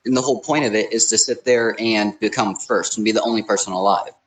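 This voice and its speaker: A man's voice: lowish voice, fairly even intonation, fast talker, slight background noise, low-quality recording. A young man co-hosting a podcast, explaining things in a matter-of-fact, conversational way. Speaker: podcast co-host. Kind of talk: casual chat, explaining.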